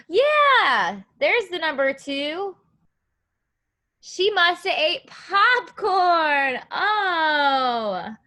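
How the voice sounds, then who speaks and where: A woman's voice: very high-pitched, big pitch swings, extremely slow, bright, forceful tone. A woman preschool teacher reading aloud to young children, asking questions and counting in an encouraging, sing-song way with simple phrasing.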